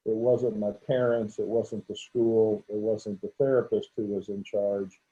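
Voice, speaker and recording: Man in his 60s, stuttering speech, very dark mellow tone, muffled lo-fi sound. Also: on the low side, flat delivery, a little slow. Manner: stutters, with frequent repetitions and restarts. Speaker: guest, veterinarian. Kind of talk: conversational interview. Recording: dull lo-fi audio.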